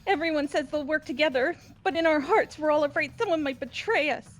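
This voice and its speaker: A woman's voice: sits high, a little fast, soft background music, close to an everyday voice. A woman voicing an emotional teenage schoolgirl in an amateur game dub. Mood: troubled.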